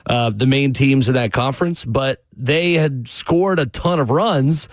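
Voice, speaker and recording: A man's voice: mid-range voice, a little slow, no room sound, studio quality. An upbeat young man co-hosting a sports radio show, chatting casually. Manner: upbeat, fluent with fillers. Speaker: co-host.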